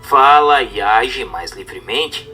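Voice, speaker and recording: A man with a Brazilian accent reads a religious text aloud as a narrator, in a formal, measured, didactic manner over music. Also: medium pitch, lively intonation, pushed and bright. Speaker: narrator reading a book aloud. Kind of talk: scripted audiobook-style narration of a religious text.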